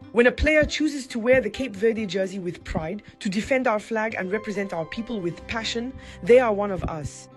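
A woman sends a listener voice note in an earnest, proud tone. Faint music plays behind her. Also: medium pitch.

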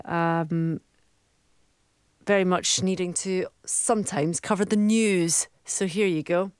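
A woman presenting a radio show, bubbly and quick to laugh, chatting with callers and reading news. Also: lowish voice, smooth, dry recording, studio quality. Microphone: studio microphone.

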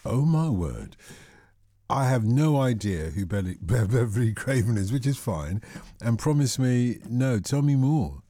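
A man's voice: medium pitch, sing-song, a little slow, dark tone, dry recording, clean studio sound. A man hosting a late-night radio phone-in, chatty and joking with callers. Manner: jokey, chatty, chuckles often. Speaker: radio host. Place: radio studio.

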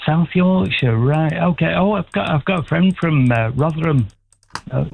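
A sixty-year-old man from Manchester calling in to a radio show, chatting warmly and rambling a little. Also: a little high, moderate pace, gravelly, breathy edge, no echo. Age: 60s. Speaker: caller. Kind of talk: casual phone-in chat. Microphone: telephone. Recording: tinny phone line.

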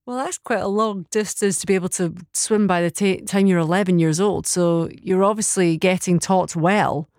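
A woman hosting a radio phone-in, asking unhurried, musing questions with fillers. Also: fairly low pitch, a little mellow, no room sound, studio quality. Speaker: radio host.

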